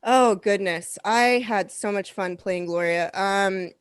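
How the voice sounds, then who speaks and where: A woman's voice: mid-range voice, slow, clear, resonant tone, dry recording, studio quality. A woman who voice-acts, answering casually in an interview. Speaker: voice actor, cast member.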